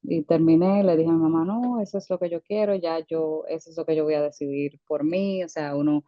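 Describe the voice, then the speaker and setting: A woman's voice: low-pitched, steady tone, fairly quick, dry recording, muffled recording. A woman in her thirties, a dentist guest in an interview, telling her story with frequent hesitations and restarts. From United States, Dominican parents. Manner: hesitant, many fillers and restarts. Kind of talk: conversational interview.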